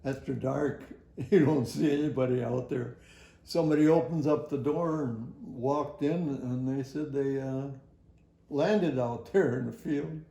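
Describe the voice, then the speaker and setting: An older man tells a personal story from his working life in a halting, rambling, folksy way. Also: mid-range voice, creaky, mellow, roomy sound. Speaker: retired air traffic facility worker. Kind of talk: personal oral history storytelling.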